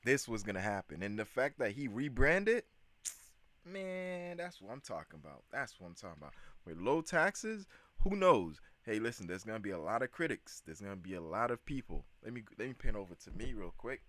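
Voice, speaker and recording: Lowish-voiced dude hyping up a video he's reacting to, slangy, keeps repeating stuff. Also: big pitch swings, brisk, fairly bright tone, dry recording. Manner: hyped, slangy, repeats himself. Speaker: channel host reacting to a video. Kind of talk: casual reaction commentary.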